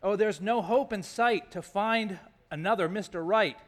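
A man's voice: sits high, measured pace. A man voicing a despairing old widow from a storybook poem, wailing in dramatic rhyme. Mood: self-pitying, exasperated. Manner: wailing, dramatic rhyming delivery. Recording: echoey hall with long reverb.

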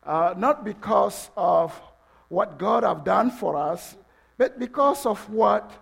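A man from Togo with a French-English accent preaching a sermon in a church, halting with fillers, then building to passionate emphasis. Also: sits high, gravelly, slightly rough. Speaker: pastor. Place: church sanctuary.

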